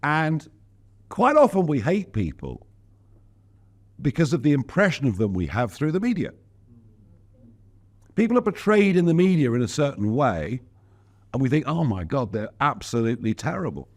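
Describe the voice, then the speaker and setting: A man's voice: a little high, big pitch swings, moderate pace, pauses often, a little mellow. A man being interviewed as a politician, speaking in an emphatic, outspoken way with wry asides. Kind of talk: casual interview talk.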